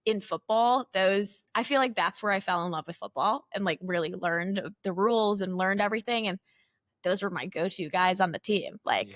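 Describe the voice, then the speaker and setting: A woman's voice: mid-range voice, moderate pace, no room sound, clean studio sound. A woman in her thirties with a Chicago accent, a guest on a podcast who talks in a chatty, rambling way full of fillers and restarts. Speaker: guest, company CEO. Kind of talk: casual interview chat.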